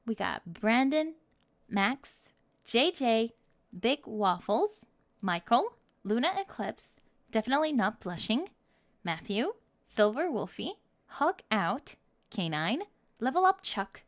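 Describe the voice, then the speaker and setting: A woman speaking as an ASMR channel host, giving an outro with thanks and shout-outs to supporters. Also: fairly high pitch, very animated, slow pace.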